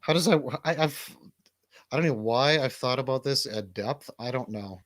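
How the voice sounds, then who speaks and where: A man's voice: medium pitch, moderate pace, no room sound. Joking American man, dry close sound. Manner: joking, rambling, profane. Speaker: DJ co-hosting a comedy radio show. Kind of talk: casual comic banter.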